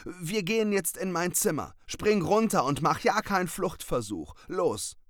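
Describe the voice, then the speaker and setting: A man's voice: medium pitch, wildly swooping pitch, extremely slow, vocal fry, sharp tone, slightly rough, no echo, clean studio sound, pitched above the performer's own voice, full character voice. A man voicing a small, proud male tomcat who talks in a stern, bossy, pompous way. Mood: commanding.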